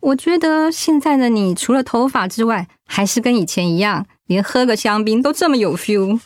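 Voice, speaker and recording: An actress playing a kind, attentive middle-aged housewife talking in a radio drama. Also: female voice, fairly high pitch, expressive, moderate pace, dry recording, clean studio sound, natural voice. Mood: concerned. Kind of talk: radio drama acting, conversational.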